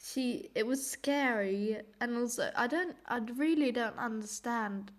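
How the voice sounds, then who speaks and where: A woman reacting casually to clips in a rambling, self-deprecating way, her voice hoarse and giving out from a cold. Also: on the high side, a little slow. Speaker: host reacting to cartoon clips.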